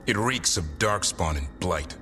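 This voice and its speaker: An actor playing an earnest, righteous warrior companion in a fantasy video game, grave and impassioned. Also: male voice, low voice, lively intonation, unhurried. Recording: loud game music behind.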